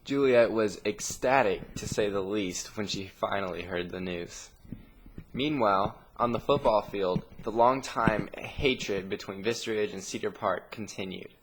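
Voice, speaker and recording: Guy narrating a scripted story, lowish voice, dramatic pauses, bit of noise and clicking on the audio. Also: poor audio.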